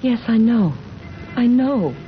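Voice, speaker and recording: A woman's voice: a little high, animated, takes it slowly, faint music behind. A woman acting in a 1970s radio drama as a young, fatalistic woman longing for love, earnest and melodramatic. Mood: anguished. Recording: dull, noisy vintage radio recording.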